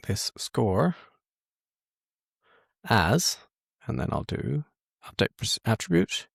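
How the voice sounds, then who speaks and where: Low, gravelly man thinking aloud. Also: no echo, clean studio sound. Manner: informal, self-correcting, musing aloud. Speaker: host of software tutorial. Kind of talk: casual screen-share walkthrough, thinking aloud.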